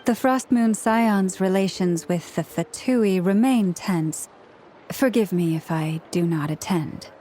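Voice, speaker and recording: A woman's voice: mid-range voice, slow pace, warm tone, a little hiss or hum, close to an everyday voice. An actress playing a reserved, formal lady in a video game, speaking with polite restraint.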